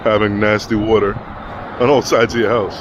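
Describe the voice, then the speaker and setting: A man's voice: fairly low pitch, flat delivery, moderate pace. A man vlogging casually as he walks city streets, talking loosely and thinking aloud into a handheld camera mic. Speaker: travel vlogger. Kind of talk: casual walk-and-talk vlog. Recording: outdoor street noise. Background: street traffic and city ambience.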